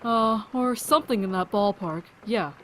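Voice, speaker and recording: A woman's voice: mid-range voice, normal speed, slight background noise, lightly acted, rough recording. A woman playing a wry, motherly survivor in an audio drama, talking in a casual, resigned way. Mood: curious, casual.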